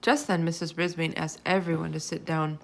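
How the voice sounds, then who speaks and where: A woman reading a children's chapter book aloud in an expressive storytelling style. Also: low voice, a little fast, creaky. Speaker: storybook reader. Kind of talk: children's read-aloud, expressive scripted narration.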